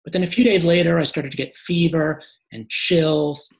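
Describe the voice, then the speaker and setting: A hoarse man who gets short of breath, answering interview questions with many "uh" fillers. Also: a little high, fairly even intonation, normal speed. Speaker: guest.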